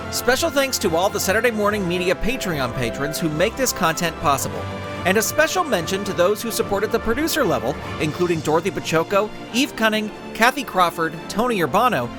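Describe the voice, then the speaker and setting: A man reading scripted dramatic narration as a storyteller. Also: high-pitched. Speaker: author and narrator.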